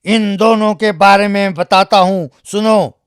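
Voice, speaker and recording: A narrator voicing a grand, grateful genie from a magic lamp in a children's cartoon story, loud and declamatory. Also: mid-range voice, steady tone, slow, clean tone, no room sound, studio quality, close to an everyday voice. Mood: grand, jovial.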